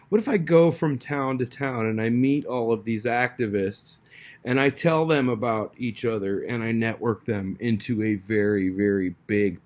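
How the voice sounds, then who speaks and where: A man rambles informally in a medium-pitched voice. He is intense, doubles back on his words for emphasis and swears freely. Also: darkish tone. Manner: profane, repetitive, intense.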